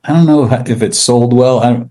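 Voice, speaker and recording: A man chatting casually as an interview guest, talking about his work in a halting, laid-back way. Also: medium pitch, dark tone. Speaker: interview guest, comic book writer.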